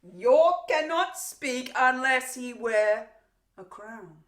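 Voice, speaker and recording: A woman performing a Shakespearean stage monologue as a vengeful warrior queen, theatrical and taunting, with drawn-out pauses, gloating over a captive. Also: mid-range voice, expressive, fairly bright tone. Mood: mocking ceremony. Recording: roomy, echoing untreated room.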